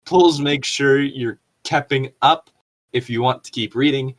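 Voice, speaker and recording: A man's voice: mid-range voice, measured pace. A man co-hosting a comedic reading, chatting casually with rambling explanations and some mild swearing. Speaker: co-host reading and riffing on fan fiction.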